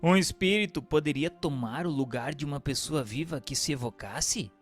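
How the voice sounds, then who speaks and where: A man narrating and posing questions from a religious text in a formal, measured, didactic way. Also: fairly high pitch. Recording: hall-like reverb, music bed.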